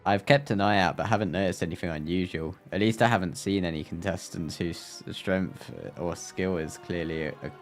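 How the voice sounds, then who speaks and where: A man's voice: low-pitched, fairly even intonation, normal speed, hardly any pauses, faint music behind. A man live streaming a game, playfully exclaiming and reading dialogue aloud.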